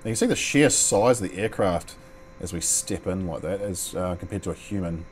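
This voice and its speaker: Low-voiced Kiwi man rambling through a flight. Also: fairly quick, a little hiss or hum. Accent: New Zealand. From New Zealand. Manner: rambling, many ums, mildly sweary. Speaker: flight sim streamer. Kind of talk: casual gameplay commentary. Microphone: headset.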